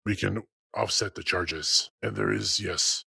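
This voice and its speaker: A man in a tabletop game talking through a plan, his voice very low and heavily gravelly. The tone is rough and husky, recorded dry. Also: fairly even intonation, a little slow, sharp tone, no echo. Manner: matter-of-fact, planning aloud. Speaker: player.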